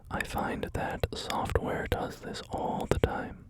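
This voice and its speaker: A man whispering through a relaxed ASMR gaming video, rambling and joking about himself with many fillers. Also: slow. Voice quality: soft unvoiced whisper. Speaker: ASMR creator.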